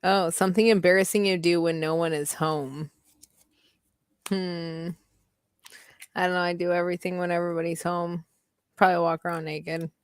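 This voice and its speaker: A woman's voice: on the low side, level tone, clean tone, dry recording. Giggly lady host reading question cards, hums a lot, dead dry sound. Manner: giggly, thinks aloud with drawn-out hums. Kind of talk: casual chat reading out party questions. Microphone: video call.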